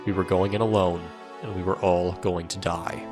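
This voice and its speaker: Man as a low-voiced, sardonic soldier narrator. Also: fairly even intonation, moderate pace, close to an everyday voice. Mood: grim. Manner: sardonic, irreverent. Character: wry, world-weary male soldier recounting his war. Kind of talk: first-person audio drama narration. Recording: music under the voice.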